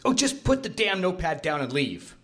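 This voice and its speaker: An actor playing a cold, cruel executive in a live radio drama, curt and commanding. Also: male voice, high-pitched, sing-song, fairly quick, fairly bright tone. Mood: impatient, irritated.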